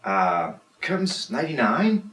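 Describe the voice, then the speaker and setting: A man in his thirties from Serbia, a guest DJ talking casually in an interview, halting with fillers and restarts. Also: medium pitch, big pitch swings, a bit bright, a little hiss or hum. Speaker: guest DJ and producer. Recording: roomy, mic at a distance.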